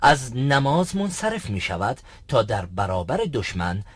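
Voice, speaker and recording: A man plays a learned religious teacher in an educational cartoon. His earnest, didactic delivery is formal yet moves widely in pitch, around a middle register, and the recording is dry. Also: very animated, no room sound. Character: learned male religious teacher, earnest, explaining to travelling companions. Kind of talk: scripted educational cartoon dialogue.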